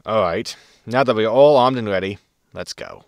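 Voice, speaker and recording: A man's voice: fairly low pitch, normal speed, gravelly, noisy background. A man playing a wry, exasperated spaceship captain in a sci-fi comedy audio drama, sarcastic and grumbling as he gives orders. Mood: wry, commanding.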